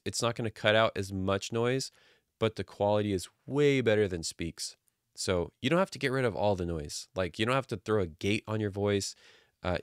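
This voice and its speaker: A man in his thirties casually walking through his microphone filter settings from a small quiet home studio, relaxed and jokey. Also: fairly low pitch, clean tone, dry recording. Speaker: streaming audio tutorial host. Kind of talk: casual screen-share tutorial. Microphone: studio dynamic mic on boom arm.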